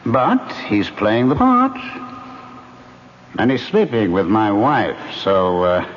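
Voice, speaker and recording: A sly vengeful ghost. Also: male voice, on the low side, sing-song, slow. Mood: sly, coaxing. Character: vengeful male ghost haunting a TV set. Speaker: ghost of a jealous producer. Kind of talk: 1970s radio drama acting. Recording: lo-fi old radio broadcast.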